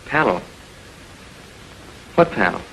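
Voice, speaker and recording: Guarded suspect, very slow delivery, lowish pitch, curt, 1940s TV drama, faint noise. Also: male voice, very animated, vocal fry, fairly bright tone, full character voice. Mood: evasive. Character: guarded male handwriting witness turned murder suspect. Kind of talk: 1940s television crime drama acting. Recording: noisy 1940s film soundtrack.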